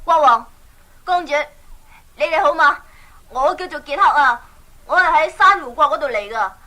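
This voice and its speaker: An earnest young adventurer from a children's radio play, with a Hong Kong Cantonese accent. The voice sits high and rings out brightly, brave and boyish. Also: female voice, expressive, normal speed. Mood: eager, determined. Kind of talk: 1980s Cantonese children's audio drama.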